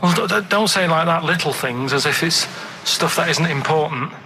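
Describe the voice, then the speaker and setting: A man chatting casually on a radio show, telling odd facts and stories in a halting, earnest, matter-of-fact way. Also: fairly high pitch, pushed and bright, slight background noise. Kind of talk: casual rambling radio chat and anecdotes.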